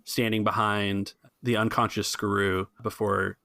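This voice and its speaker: A man running a tabletop game as game master, improvising the narration in a halting, informal way. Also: lowish voice, little pitch movement, gravelly, sharp tone, no echo, studio quality.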